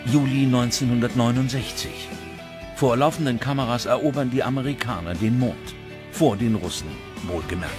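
A man's voice: mid-range voice, normal speed. Male documentary narrator over prominent music. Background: background music.

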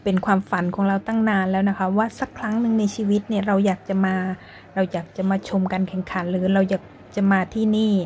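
A woman's voice: medium pitch, flat delivery, fast, a little hiss or hum. A young woman hosting a casual tour vlog, narrating politely and chattily, and speaking English with a Thai accent when she switches. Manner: polite, chatty, adds frequent soft sentence-end particles. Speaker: vlog host and tour guide. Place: football stadium museum indoors.

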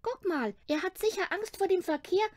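Storybook little boy, played by a woman: high, excitable, stubborn, emphatic, longing and eager. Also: normal speed. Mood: eager, excited.

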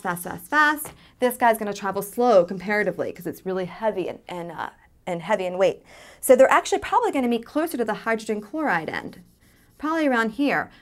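A woman in her thirties teaching chemistry at a whiteboard in a studio, explaining step by step with many fillers. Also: mid-range voice. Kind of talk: whiteboard lesson. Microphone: lapel mic.

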